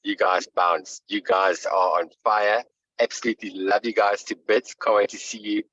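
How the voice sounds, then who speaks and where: A man's voice: fairly low pitch, steady tone, moderate pace, fairly bright tone, slightly rough, dry recording. A man hosting an online team meeting on a video call, heaping out praise with filler ums. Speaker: meeting host. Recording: dry, dull lo-fi call audio.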